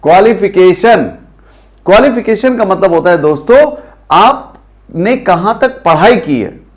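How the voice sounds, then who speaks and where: A man with an Indian accent teaching spoken English, saying English phrases slowly and urging viewers to repeat them. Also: sits high, animated. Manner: slow drilled English phrases, coaxing the viewers.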